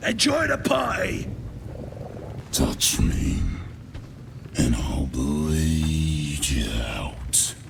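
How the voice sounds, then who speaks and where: An actor playing a menacing, gleefully violent goblin brute in a video game, with a growling, gravelly voice. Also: male voice, a bass voice, huge pitch swings, extremely slow, long pauses, background noise, exaggerated voice. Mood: bloodthirsty. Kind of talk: video game character acting.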